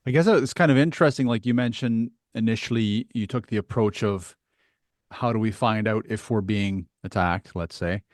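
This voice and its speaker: Male podcast host, lowish pitch, relaxed conversational questioning, very dry acoustic. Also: normal speed, no echo. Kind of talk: casual conversational interviewing.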